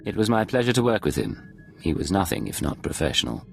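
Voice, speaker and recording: An actor playing a composed imperial intelligence agent in a video game, formal and controlled in speech. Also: male voice, deep voice, sing-song, fast talker, gravelly, soft background music, exaggerated voice. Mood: composed, dutiful.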